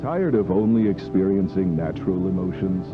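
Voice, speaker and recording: A man reading scripted narration for a fictional drug infomercial in a formal, measured announcer manner. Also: sits low, animated. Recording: dull lo-fi video playback with music. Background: background music.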